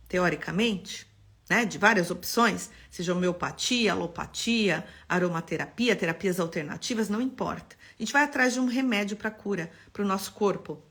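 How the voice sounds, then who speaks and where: A woman from São Paulo with a Paulista accent, an architect teaching an expressive live-stream class. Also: mid-range voice, husky. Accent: Paulista Brazilian Portuguese. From São Paulo, Brazil. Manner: expressive, greets viewers by name.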